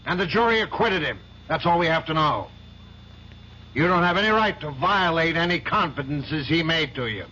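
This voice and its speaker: A man's voice: a little high, expressive, moderate pace, sharp tone, slightly rough, full character voice. An actor playing a stern, commanding older man who runs a law firm, in a 1970s radio drama. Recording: dull lo-fi old radio broadcast with faint hiss.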